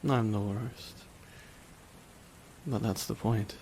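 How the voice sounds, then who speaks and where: Brief: male actor as wry, softened villain for ASMR roleplay; gravelly, hushed, lingering pauses, rain behind. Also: on the low side, fairly even intonation, a little hiss or hum. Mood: wistful, resigned. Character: reflective male supervillain, wry, quietly softened toward his nemesis. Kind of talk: ASMR dramatic roleplay monologue.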